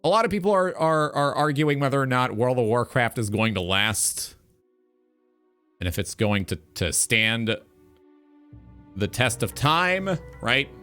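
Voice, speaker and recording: A man in his twenties delivers a reflective talk show monologue in a bright, forward voice. He sounds earnest and philosophical, often circling back to repeat phrases. Music plays faintly underneath. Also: medium pitch, very animated, measured pace, long pauses, clear, resonant tone. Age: 20s. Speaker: talk show host, game streamer.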